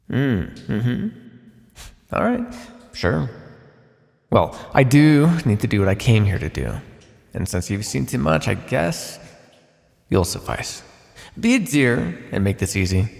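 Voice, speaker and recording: A man playing a sardonic vampire captor in an ASMR roleplay, speaking conversationally with hesitant pauses. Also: medium pitch, gravelly, darkish tone, heavily acted. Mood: teasing, predatory. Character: teasing, menacing male vampire captor, centuries old scientist. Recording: long hall reverb, faint background noise.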